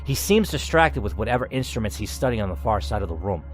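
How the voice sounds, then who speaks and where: A man's voice: on the low side, very animated, quick pace. A man reading a story game aloud as channel host, moving between dramatic narration and casual asides. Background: faint music.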